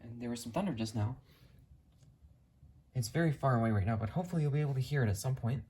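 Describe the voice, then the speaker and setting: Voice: mid-range voice, fast, dark tone. A hobbyist narrating a casual show-and-tell video, explaining things in a detailed, matter-of-fact way.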